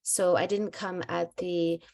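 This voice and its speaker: A woman's voice: lowish voice, level tone, slow, vocal fry, a little mellow. A woman raised between Syria and the US, a nonprofit founder and CEO, presenting at an online conference in a friendly, conversational way with some restarts. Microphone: video call.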